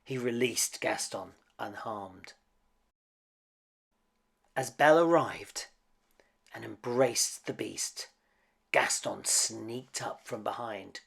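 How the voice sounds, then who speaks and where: Guy reading a kids bedtime story, slow and dramatic, kinda croaky. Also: mid-range voice, fairly bright tone. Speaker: storybook reader. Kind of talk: read-aloud children's story narration.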